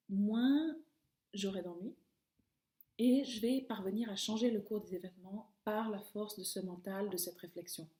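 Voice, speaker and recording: A middle-aged woman talking calmly and reflectively to camera, her phrases often trailing off softly. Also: mid-range voice. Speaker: video host. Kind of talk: personal reflective monologue. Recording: echoey room.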